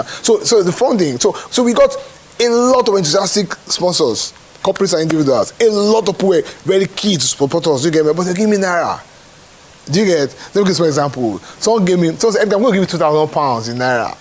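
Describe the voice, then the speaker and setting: A man's voice: a little high, animated, fast. A Nigerian man talking as a show producer in an interview, often checking that the listener follows. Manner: repeats check-in questions to the listener. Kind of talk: animated spoken interview.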